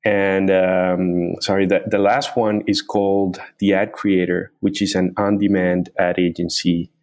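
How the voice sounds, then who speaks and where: Low-voiced man. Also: level tone, a little slow, darkish tone. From Colombia. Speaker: guest, company founder. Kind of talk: interview answers.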